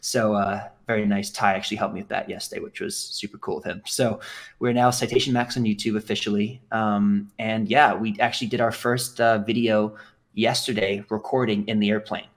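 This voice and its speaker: Brief: young man from England, podcast guest, lowish voice, loose and rambling with frequent ums. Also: level tone, a little fast. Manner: rambling, with many fillers and restarts. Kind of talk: casual conversational storytelling.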